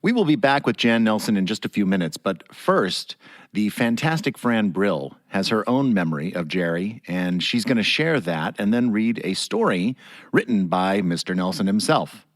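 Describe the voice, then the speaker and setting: Low, lively male host for a chatty interview podcast, quick to laugh. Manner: laughs often, affirms with quick yeahs. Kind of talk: relaxed interview chat.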